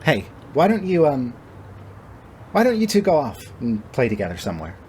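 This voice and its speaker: Man voicing a gentle, kind-seeming woman, noisy background. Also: mid-range voice, wildly swooping pitch, moderate pace, pitched above the performer's own voice. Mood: friendly, casual. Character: kind-seeming young woman, genuine, quietly joyful, secretly callous.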